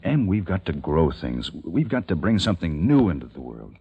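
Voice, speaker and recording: A man acting a grave, resolute plague survivor in an old time radio drama, with solemn, deliberate, dramatic delivery. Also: low voice, big pitch swings, breathy edge, narrow, tinny audio, heavily acted. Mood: practical, firm. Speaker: radio drama lead actor and narrator. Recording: vintage radio drama with musical underscore.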